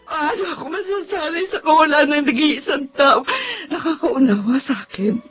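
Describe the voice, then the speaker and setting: An actress playing a lonely, grieving daughter in a radio drama, tearful, halting and pleading. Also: female voice, high-pitched, a bit bright. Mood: sobbing, despairing. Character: grieving lonely daughter who cared for her sick mother. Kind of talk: Tagalog radio drama acting. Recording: dull lo-fi radio drama sound.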